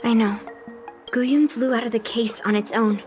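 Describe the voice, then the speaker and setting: A determined young swordswoman, voiced by a woman, speaking directly and with urgency. Her voice sits a little high. Game music plays clearly underneath. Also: steady tone, moderate pace, a little mellow, natural voice. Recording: game audio with music behind.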